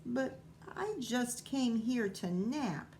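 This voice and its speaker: A woman's voice: a little high, expressive, slow, mellow, a little hiss or hum, voice pushed up. A woman voicing a sleepy, reluctant young male dog pupil in a storybook, hesitant and mildly protesting. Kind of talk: storybook read-aloud character voice.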